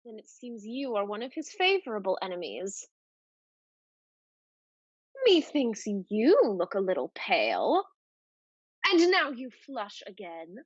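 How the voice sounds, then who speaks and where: A woman acting a proud, scheming society lady in a Restoration comedy, with a theatrical, deliberate delivery. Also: medium pitch, sing-song, husky, no room sound, muffled recording. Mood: defensive, haughty.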